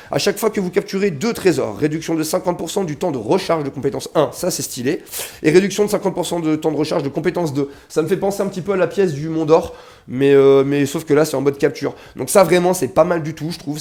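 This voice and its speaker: A man's voice: mid-range voice, creaky, darkish tone. A young man with a slight cold giving casual, slangy gaming commentary to his viewers, often reading game text aloud. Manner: chatty, slangy, addresses viewers as "les gars", reads game text aloud. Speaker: mobile game video creator.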